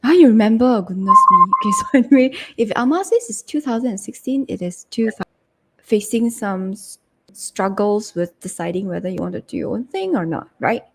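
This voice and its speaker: A woman's voice: mid-range voice, brisk, vocal fry, a bit bright, a little hiss or hum, rough recording. A woman in her thirties hosting a casual podcast interview, chatty and teasing, laughing often. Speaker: guest host.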